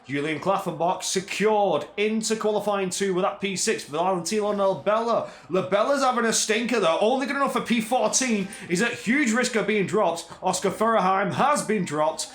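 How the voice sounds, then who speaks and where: Energetic man calling a race, echoey room. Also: moderate pace, few pauses. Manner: energetic play-by-play that climbs in pitch at tense moments; chatty asides during quiet spells. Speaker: race commentator. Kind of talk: solo live-stream sim racing commentary.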